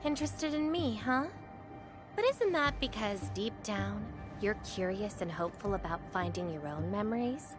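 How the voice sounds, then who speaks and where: A woman's voice: medium pitch, lively intonation, moderate pace, close to an everyday voice. A woman playing an enigmatic, self-assured female companion in a video game, teasing and aloof, shifting between formal phrasing and flippant slang. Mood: teasing, guiding. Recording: music under the voice.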